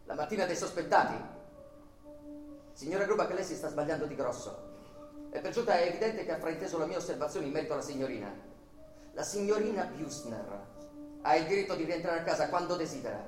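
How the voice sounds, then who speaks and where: A man's voice: on the high side, lively intonation, fast, long pauses, lightly acted. An actor playing an agitated, over-polite male bank employee in an emphatic, repetitive Italian radio theatre style. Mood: indignant. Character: agitated male bank employee lodger, courteous then explosively indignant. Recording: roomy, distant from mic, music behind.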